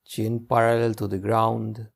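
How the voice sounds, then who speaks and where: A man leading a guided meditation as a teacher, giving gentle, unhurried instructions with long pauses. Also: lowish voice, little pitch movement, gravelly.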